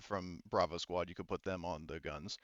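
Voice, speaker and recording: Smooth-voiced man running a tabletop game. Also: low-pitched, steady tone, normal speed, fairly bright tone, dry recording, highs cut off. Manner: informal, sweary, hesitant, thinking aloud. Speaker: game master. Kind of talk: casual tabletop game narration.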